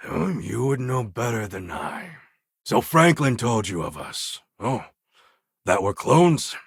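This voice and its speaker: A gravelly, proud warlord. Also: male voice, mid-range voice, very animated, no room sound, clean studio sound, heavily acted. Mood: measured, earnest. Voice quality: gravelly, husky voice. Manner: deliberate, theatrical, grandly formal delivery. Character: proud warlike male clone of a Mongol conqueror. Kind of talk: video game character acting.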